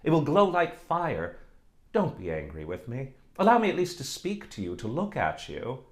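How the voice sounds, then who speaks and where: A man's voice: medium pitch, huge pitch swings, moderate pace, roomy sound, pitched above the performer's own voice. A man voicing a lovesick young village blacksmith who pleads tenderly with the girl he courts. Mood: pleading.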